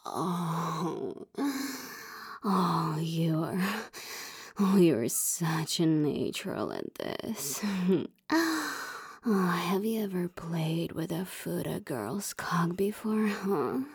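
Slow breathy whispering woman. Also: fairly low pitch, expressive, no room sound, clean studio sound. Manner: soft, coaxing, teasing, with sighs and moans. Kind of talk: whispered roleplay audio.

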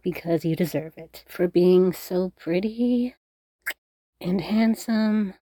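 A woman playing a doting, teasing, dominant girlfriend in an intimate roleplay audio, cooing and coaxing, sometimes slipping into soft whispers. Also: fairly low pitch, takes it slowly, no room sound. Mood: tender, possessive. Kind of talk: intimate scripted roleplay audio addressed to the listener.

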